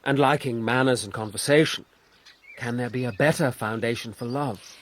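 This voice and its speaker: Man acting posh english young lord, earnest n honourable, period radio play, mid pitch. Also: normal speed, lightly acted. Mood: restrained. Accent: upper-class English.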